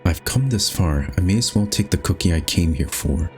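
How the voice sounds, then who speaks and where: A man's voice: deep voice, expressive, normal speed, faint music behind. A man reading scripted, storybook-style narration for a horror game.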